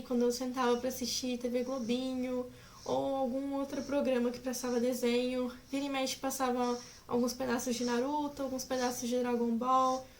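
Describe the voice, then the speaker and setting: A woman's voice: high-pitched, darkish tone, slight background noise. A woman in her twenties chatting casually to camera as a vlogger, giggly and rambling. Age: 20s.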